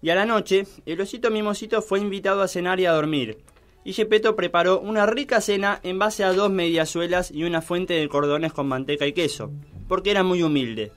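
A man's voice: high-pitched, brisk. A man narrating a scripted mock children's tale as a storyteller.

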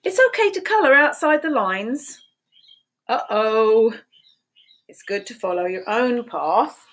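A woman in her fifties reading picture books aloud to preschoolers in a slow, sing-song storytime voice, recorded on a webcam in a small room at home. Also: a little high, animated, fairly bright tone. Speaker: children's book reader. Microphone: webcam at desk.

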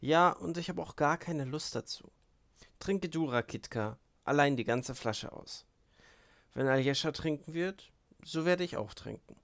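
Male reader as young woman, impulsive, emotional, effusive, exclamatory, audiobook character, raised pitch, dry recording. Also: lively intonation, a little slow, vocal fry, a bit bright, no echo, heavily acted. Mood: startled, pious. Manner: exclamatory, effusive, repeats herself.

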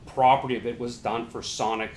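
A man's voice: mid-range voice, little pitch movement, fairly quick, a little mellow. A middle-aged man giving conversational, emphatic interview answers as a company founder, often explaining with "I mean". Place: small indoor listening room, seated. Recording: roomy echo, clear background noise.

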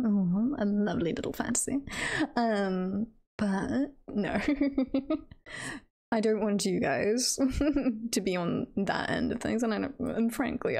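A woman's voice: fairly high pitch, creaky, darkish tone. A woman streamer chatting casually on a livestream, musing in a playful, giggly, hesitant way. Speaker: streamer and voice performer.

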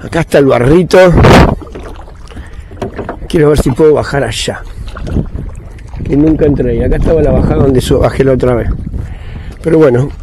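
A man's voice: medium pitch, moderate pace, pauses often. A man chatting casually to viewers while paddling a kayak on a river, pointing things out as he goes. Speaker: vlogger kayaking. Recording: outdoor camera audio, clear background noise. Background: water and paddling sounds.

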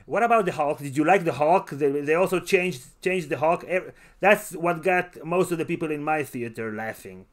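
A man hosting a podcast in casual chat, haltingly searching for words and repeating short phrases. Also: on the high side. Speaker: podcast host.

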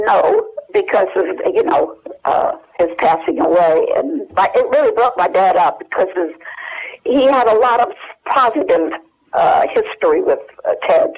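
A woman in her eighties, speaking as an interview guest by telephone, hesitant and searching her memory with many fillers. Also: fairly low pitch. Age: 80s. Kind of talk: phone interview. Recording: muffled telephone line.

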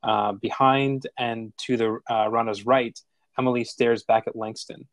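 Man, scripted narration, mid-range pitch, measured, plain, stage directions, no room echo. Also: fairly even intonation.

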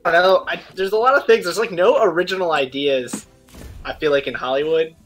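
A man's voice: a little high, a little fast, fairly bright tone, rough recording. A young man chatting casually as a co-host on a live stream. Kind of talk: casual chat. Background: background music.

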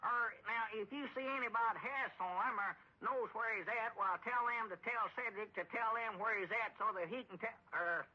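An actor playing a muddled, gullible old storekeeper with a rural Southern American accent, in a folksy, rambling radio comedy style. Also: male voice, high voice, a little fast, hardly any pauses, bright, forceful tone, slightly rough, full character voice. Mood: flustered. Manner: rambling, folksy, easily confused. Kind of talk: 1930s-40s radio comedy acting. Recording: dull old radio recording.

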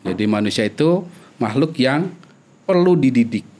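A middle-aged man with a Sundanese accent, a guest epidemiologist speaking earnestly in a podcast interview, pausing with fillers and restarts. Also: mid-range voice, animated, a little mellow. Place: small studio with wood-slat wall. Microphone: desk mic on boom arm.

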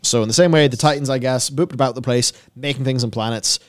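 A man's voice: medium pitch, fast. A man in his thirties giving casual commentary as a co-host, with flippant asides and loose explanations, on a close studio microphone. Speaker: co-host of a gaming discussion show. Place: small indoor podcast studio. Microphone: close broadcast microphone on boom arm.

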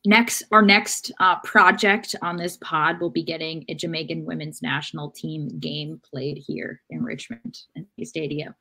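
Young woman with a slightly low voice. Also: fairly even intonation, measured pace, vocal fry, few pauses, bright, forceful tone, poor audio. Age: young adult. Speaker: sports podcast co-host. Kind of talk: casual sports chat. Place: room at home, video call.

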